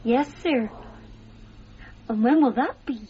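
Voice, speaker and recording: A woman's voice: high voice, slow. A woman playing an eager, deferential young servant girl from eighteenth-century England in a radio drama. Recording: dull lo-fi taped radio broadcast, hiss.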